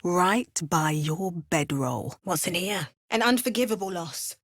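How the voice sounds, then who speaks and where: An actress playing an adventurous, wry woman hero in a fantasy video game, with a measured, understated delivery. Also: lowish voice, no room sound, studio quality. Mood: musing, wry. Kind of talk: scripted fantasy game dialogue.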